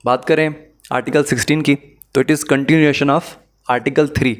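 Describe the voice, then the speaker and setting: A man's voice: medium pitch, fairly even intonation, slow. A man with a Pakistani accent giving an explanatory law lesson in a methodical, step-by-step manner. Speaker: law lecturer. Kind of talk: explanatory lesson mixing Urdu and English.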